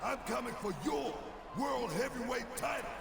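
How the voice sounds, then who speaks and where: A man's voice: very high voice, gravelly, a loud crowd. A man playing a brash, boastful pro wrestler in a video game, delivering a declamatory challenge. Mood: defiant. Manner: declamatory, slow promo delivery. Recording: echoey, very noisy arena game audio.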